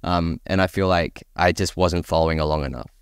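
Male podcast panellist, low clean voice, casual discussion style, dry sound. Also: fairly even intonation, fairly quick, clear, resonant tone, no echo.

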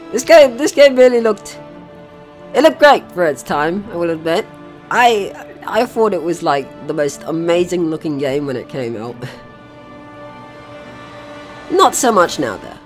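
A young adult gaming host giving casual let's play commentary in an excitable, sweary, rambling manner. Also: medium pitch.

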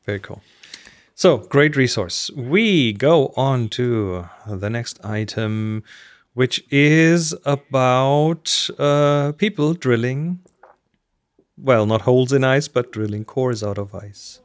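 A middle-aged man co-hosts a podcast in a casual conversational style. His voice sits at a middle pitch and is recorded close and dry, with very little room sound. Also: expressive, slow pace, no room sound. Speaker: podcast co-host. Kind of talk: casual chat. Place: indoor room on a video call.

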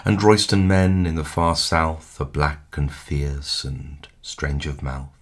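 A man giving a dramatic poetry recitation in a measured, expressive way, with hushed line endings. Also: very deep voice. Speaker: poetry reader. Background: music.

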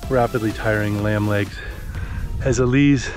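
A man's voice: lowish voice, steady tone, a little slow. A young man narrating a hiking vlog outdoors on a mountaintop in a dry, wry, understated way, recorded on an action camera. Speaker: vlogger hiker. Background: background music.

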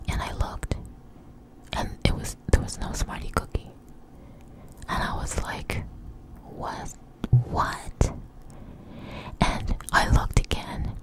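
A woman telling a rambling personal story in a breathy, hushed ASMR whisper, halting as she goes, very close to the microphone. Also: poor audio. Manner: slow, hushed, halting delivery.

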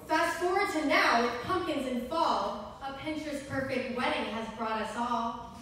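A woman's voice: high voice, moderate pace, a bit bright. A young woman reading a scripted rhyming bridesmaid speech at a wedding reception. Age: young adult. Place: large wood-walled indoor reception venue. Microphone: mic on a stand, picked up by far camera. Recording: echoey hall, distant camera, noisy room.

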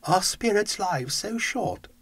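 A man voicing an anxious, pleading old male miser in a storybook reading, in a high strained voice. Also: animated, takes it slowly, fairly bright tone, husky, full character voice.

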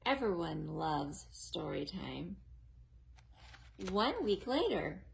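Slow sing-song woman reading to kids. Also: on the low side, big pitch swings, vocal fry. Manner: sing-song, exaggerated and slow for young children. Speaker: children's librarian. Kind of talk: children's storytime reading. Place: living room at home, then a library.

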